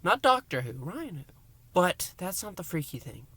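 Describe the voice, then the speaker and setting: A man hosting a review, recapping a story in a theatrical, emphatic way with dramatic pauses and hushed words. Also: high-pitched, very animated, husky, no room sound. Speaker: podcast review host.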